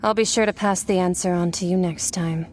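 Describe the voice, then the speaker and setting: A woman's voice: lowish voice, fairly even intonation, brisk, a little mellow, soft background music, lightly acted. Woman voicing an aloof, enigmatic warrior. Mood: unbothered. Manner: aloof, musing, matter-of-fact. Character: detached, enigmatic warrior woman apart from humanity. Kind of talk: video game character dialogue.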